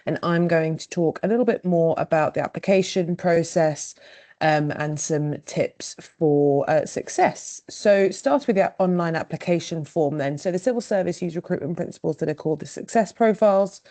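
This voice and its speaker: A woman senior lawyer explaining an application process in a relaxed way, with some fillers, during a webinar. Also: fairly low pitch, normal speed. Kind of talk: presenting a recruitment webinar.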